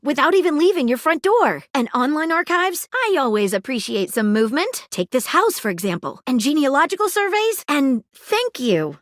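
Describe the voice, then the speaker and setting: A keen, inquisitive lady sleuth from a video game. Her voice sits fairly high and moves with very animated intonation. She articulates precisely, in a very dry recording. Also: no room sound. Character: living magnifying glass detective woman, keen, curious, precise, flirtable. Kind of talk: video game character acting.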